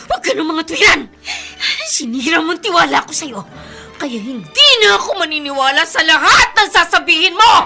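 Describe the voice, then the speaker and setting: Furious, sarcastic Filipino elder sister for radio drama, piercingly high, wildly swinging delivery. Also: female voice, big pitch swings, normal speed, sharp tone, faint music behind, full character voice. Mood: furious, anguished. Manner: accusing, sarcastic, anguished. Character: furious, wounded elder sister who sacrificed for her sibling.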